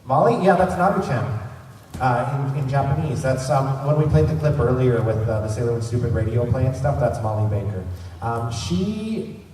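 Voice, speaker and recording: A man's voice: medium pitch, lively intonation, normal speed, a little mellow. A man hosting a fan convention panel, talking informally and a bit ramblingly to a live audience in a big hall. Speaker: panel host running a fan convention trivia session. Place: large indoor convention hall. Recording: very echoey hall from far off, noisy. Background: room ambience and noise.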